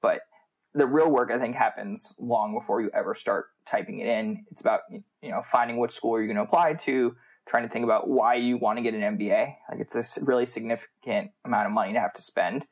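A man's voice: mid-range voice, brisk. American man in a casual interview. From United States. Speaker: MBA student, podcast guest.

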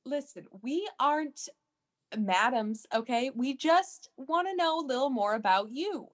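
Casting: woman playing bossy, prying female agency operator, high-pitched and animated, blunt improvised comedy delivery. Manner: brisk, interrupting, blunt.

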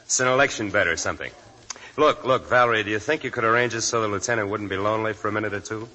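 Bright-voiced, tipsy American wisecracker. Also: male voice, on the low side, racing. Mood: wry. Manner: slangy, rambling, ironic. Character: tipsy cynical wisecracking man, hard-drinking and talkative. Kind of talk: 1940s radio drama acting. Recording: dull 1940s radio broadcast, faint music.

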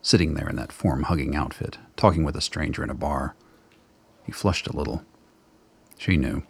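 A man narrating a radio play in a measured, dramatic, literary storytelling style. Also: extremely low pitch, vocal fry, slightly rough.

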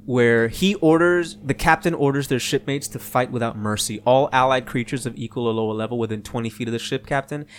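A man's voice: medium pitch, fairly quick, hardly any pauses, a little hiss or hum. Playful man running a tabletop game. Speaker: game master. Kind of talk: casual tabletop game narration.

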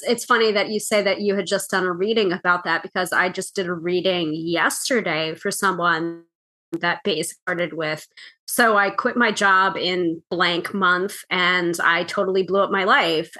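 A woman's voice: on the low side, unhurried, sharp tone. A woman co-hosting a podcast, a tarot reader chatting casually and laughing easily as she tells stories.

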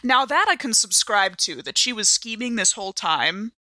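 A woman's voice: medium pitch, big pitch swings, normal speed, sharp tone, no echo. A woman improvising in character at a roleplaying game, with dry, wordy, rather formal phrasing. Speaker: player in a tabletop roleplaying audio drama.

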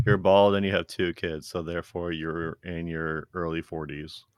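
A man's voice: deep voice, little pitch movement, moderate pace, clean tone, dry recording, clean studio sound. A man in his late thirties co-hosting a casual podcast chat, teasing his friend and laughing easily. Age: 30s. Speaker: podcast co-host.

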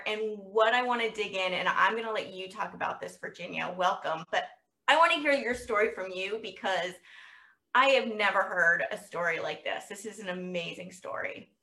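A woman's voice: mid-range voice, pushed and bright. A woman hosting a parenting podcast, opening the show and introducing her guest with enthusiasm. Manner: upbeat, enthusiastic. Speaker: podcast host, parenting coach.

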